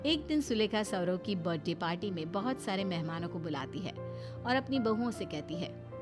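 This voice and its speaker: A woman's voice: on the low side, expressive, moderate pace, over music. A woman narrator reading a scripted family story.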